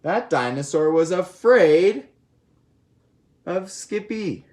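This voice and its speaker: A person reading a children's picture book aloud in an animated way, with expressive asides to young listeners. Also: mid-range voice, takes it slowly. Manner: expressive, asks listeners questions and comments in asides. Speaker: storybook reader.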